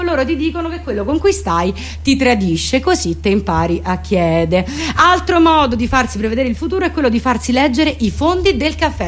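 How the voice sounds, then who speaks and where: Female comedian, highish voice, mock-didactic deadpan monologue, colloquial. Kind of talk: comic monologue, mock explainer.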